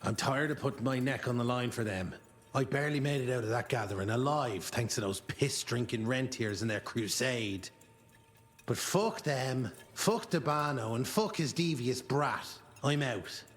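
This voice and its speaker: A self-serving outlaw, played by a man, in a Western game. He has a mid-pitched voice and grumbles coarsely, with bitterness and plenty of swearing. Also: moderate pace, close to an everyday voice. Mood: bitter. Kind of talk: video game cutscene monologue.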